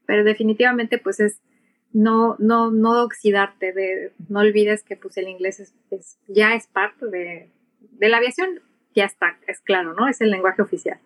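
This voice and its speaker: A woman's voice: mid-range voice, steady tone, a little slow, rough recording. Middle-aged Mexican woman explaining conversationally. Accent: Mexican Spanish. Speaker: interview guest, pilot and aviation English instructor. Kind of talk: conversational interview answers.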